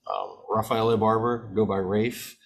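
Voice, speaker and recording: A man in his thirties from American Samoa talks calmly as a podcast guest, steady, with a few fillers. His voice sits low. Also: a little slow. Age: 30s. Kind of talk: casual chat. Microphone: desk microphone.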